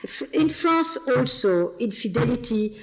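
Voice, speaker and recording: A middle-aged woman with a Flemish Belgian accent speaks frankly and thoughtfully as an interview guest. Her voice sits a little high, and she slips into the voices of the people she describes. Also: sing-song, a little slow, poor audio. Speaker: guest author on relationships. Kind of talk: conversational interview.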